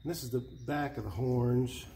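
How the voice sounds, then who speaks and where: A man in his fifties casually narrating hands-on garage work, with halting, hesitant phrasing. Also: mid-range voice, vocal fry, heavy background noise. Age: 50s. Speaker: DIY hobbyist host. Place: indoor garage workshop.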